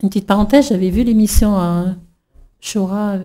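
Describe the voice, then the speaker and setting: A middle-aged woman from New Caledonia giving an emotional religious testimony, halting with many fillers and restarts. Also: medium pitch, fairly even intonation, warm tone. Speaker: church member giving testimony.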